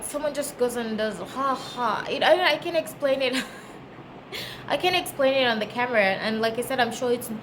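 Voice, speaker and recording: Female travel vlogger, Kenyan accent, fairly high pitch, casual conversational delivery, clear background noise. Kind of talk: casual chat to camera. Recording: clearly noisy background ambience.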